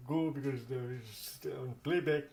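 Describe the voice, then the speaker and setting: A man talks through an informal app demonstration in a mid-pitched voice. His phrasing is halting and circles back on itself. The room adds a noticeable echo. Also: darkish tone. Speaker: host of accessibility tech demonstration videos. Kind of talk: informal spoken tutorial walkthrough. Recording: roomy echo.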